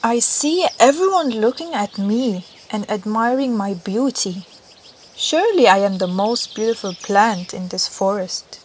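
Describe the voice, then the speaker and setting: A woman's voice: on the high side, expressive, a little slow, darkish tone, slight background noise. A woman playing a proud, vain red rose in a children's audio story, with a haughty, dismissive manner. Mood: smug.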